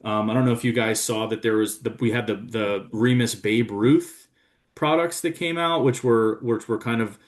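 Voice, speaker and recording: A man's voice: medium pitch, moderate pace. A man from New York, a brand representative chatting casually and rambling with lots of fillers. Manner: easygoing, rambling with many fillers. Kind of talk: casual chat.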